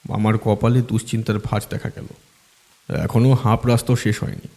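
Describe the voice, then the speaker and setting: Low, dark-voiced horror storyteller man. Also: flat delivery, moderate pace, long reverb tail. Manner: dramatic, suspenseful. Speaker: storyteller narrator. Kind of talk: dramatic horror story narration.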